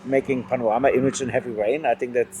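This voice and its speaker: A man at a mid-range pitch interviews a guest, putting technical questions in halting, searching phrasing. His voice is dark and mellow in tone. Faint outdoor noise with passing vehicles runs behind him. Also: steady tone. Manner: halting, non-native phrasing, searching for words.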